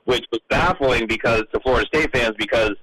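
A man in a casual sports radio discussion, talking haltingly and often repeating words as he works toward his point. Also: medium pitch, over a noisy crowd, rough recording. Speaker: sports radio show panelist.

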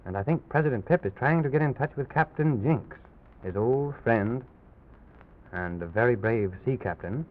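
A man hosting an old-time children's radio show, telling stories genially to young listeners. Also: medium pitch. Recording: phone-like old radio recording with music.

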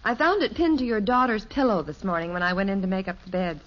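An actress playing a calm, knowing middle-aged American wife and mother in a 1950s radio sitcom. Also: medium pitch, fast talker, lightly acted. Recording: muffled old radio recording.

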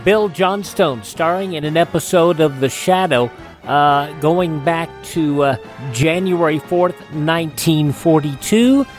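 A man hosting a classic radio program, introducing it in a measured, deliberate way. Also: fairly high pitch, soft background music. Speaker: radio show host.

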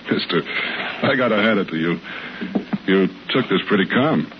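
Terse old radio cop, lowish voice, muffled recording w faint hiss. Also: male voice, animated, normal speed. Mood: matter-of-fact. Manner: terse, matter-of-fact. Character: brisk, steady 1950s police officer. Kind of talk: 1950s radio drama acting.

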